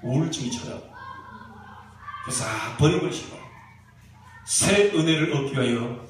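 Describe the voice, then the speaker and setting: A male pastor with a regional Korean accent preaches in a notably high voice. His emphatic exhortations and questions ring through a very echoey church, picked up from a distant mic with faint noise. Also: animated. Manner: emphatic, exhorting, with rhetorical questions and drawn-out key phrases. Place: church sanctuary during a service.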